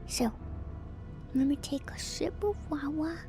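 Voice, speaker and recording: A woman livestream host chatting in a soft ASMR style, moving between whispers and quiet speech, very close to the mic with audible mouth noises. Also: sits high, measured pace. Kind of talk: whispered ASMR stream chat. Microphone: very close microphone with gain turned up, mouth noises. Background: music.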